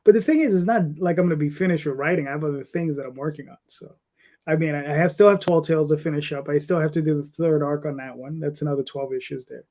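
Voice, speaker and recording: A man's voice: fairly high pitch, fast talker, a little mellow. A man in his fifties talking casually as an interview guest about his creative work, in a rambling way. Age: 50s.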